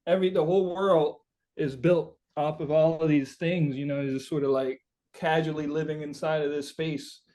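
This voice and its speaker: A man's voice: a little high, steady tone, moderate pace, dark tone. A man being interviewed as a musician, chatting casually and reflectively, searching for his words with informal, sweary phrasing.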